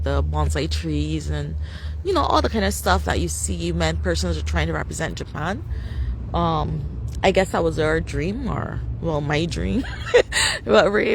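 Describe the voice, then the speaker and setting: A young Barbadian woman talks casually into her phone on a live stream, full of ums and restarts, with a gravelly edge to her voice. She is outdoors on a street, and a faint hum of traffic sits behind her. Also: low-pitched. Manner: rambling, many fillers and restarts, laughs often. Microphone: phone camera.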